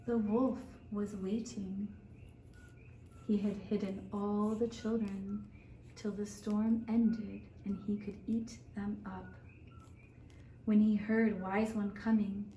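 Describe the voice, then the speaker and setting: A woman telling a scripted story for young children in measured, deliberate phrases with long pauses, recorded in a roomy space away from the mic. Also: medium pitch, mellow. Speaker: storyteller for a kindergarten puppet show. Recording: roomy, distant mic with faint noise.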